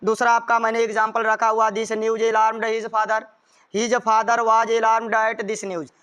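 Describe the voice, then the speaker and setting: A man's voice: high-pitched, monotone, slow pace, fairly bright tone, clear, resonant tone. A man with an Indian accent giving a grammar lesson as a teacher, explaining rules step by step and repeating them.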